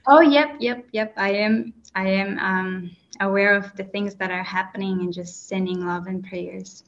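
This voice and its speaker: A woman's voice: medium pitch, steady tone, lo-fi sound. A woman in her twenties from Kazakhstan, a guest telling a personal story earnestly, with hesitations and fillers. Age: 20s. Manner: earnest, halting, with frequent fillers.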